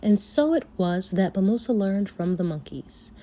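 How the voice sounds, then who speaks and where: A woman storyteller reading a folktale aloud for children, with expressive, deliberate phrasing. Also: mid-range voice.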